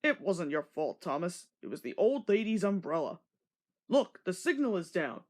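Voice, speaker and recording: A woman voicing a calm, kindly male train guard in a children's storybook, speaking reassuringly. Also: on the low side, normal speed.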